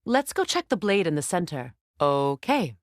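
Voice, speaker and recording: A woman's voice: lowish voice, sing-song, moderate pace, dry recording, clean studio sound. A woman acting a proud, grieving warrior goddess and sorceress in a video game, with a grave, intense, commanding manner. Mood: serious, measured.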